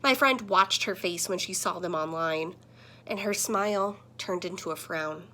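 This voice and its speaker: A woman voicing a friendly, earnest talking computer screen that gently scolds, in a children's picture book. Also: lowish voice, unhurried, pitched below the performer's own voice. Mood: gently scolding. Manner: earnest, explanatory.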